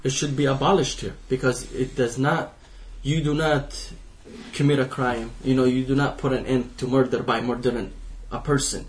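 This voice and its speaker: An impassioned young man from Iraq, talking as a panelist. His pitch sits in the middle, and he keeps pressing his points and restarting his sentences. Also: little pitch movement, moderate pace, hardly any pauses, slight background noise. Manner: impassioned, restarting and repeating himself. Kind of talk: panel discussion.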